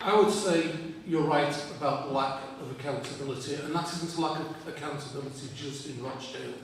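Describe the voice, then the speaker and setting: A man answering as a parliamentary candidate at a hustings, earnest and measured. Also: a little high. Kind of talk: hustings answer to a public meeting. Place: public hall meeting. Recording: echoey hall, far from mic, background noise.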